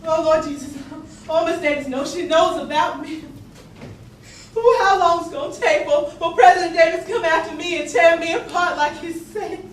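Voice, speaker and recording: A woman's voice: very high-pitched, normal speed. A woman playing a frightened, spirited enslaved young spy of the Civil War, telling her story theatrically in breathless, stammering exclamations. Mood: panicked, breathless. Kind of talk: theatrical stage monologue, narrating and reliving events. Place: theatre stage, miked from a distance. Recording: roomy distant stage capture, faint noise.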